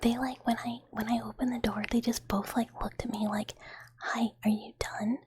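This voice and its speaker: A young adult woman streamer chatting casually in a whisper, rambling with lots of fillers and soft giggles. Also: fairly high pitch, little pitch movement, a little fast, a crowd in the background.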